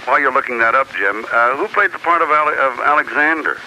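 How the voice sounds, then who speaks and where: An American man calling a radio show with casual fan questions, speaking haltingly with frequent restarts. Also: mid-range voice, animated, bright, forceful tone. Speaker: radio phone-in caller. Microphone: telephone. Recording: phone call on hissy radio cassette.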